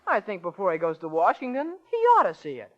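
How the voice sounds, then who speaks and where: A man's voice: sits high, huge pitch swings, fast, over loud music. A young man playing an earnest, bashful small-town lad, hesitant and plain-spoken, in old-time radio style. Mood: hopeful, earnest. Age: young adult. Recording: muffled old radio recording.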